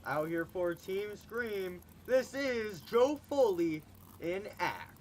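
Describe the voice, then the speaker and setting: A man's voice: lively intonation, a little mellow, slight background noise. A man announcing a monster truck show in the style of an arena announcer, stretching out his hype calls, and he is losing his voice. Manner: booming, drawn-out hype calls. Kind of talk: hyped arena announcer commentary.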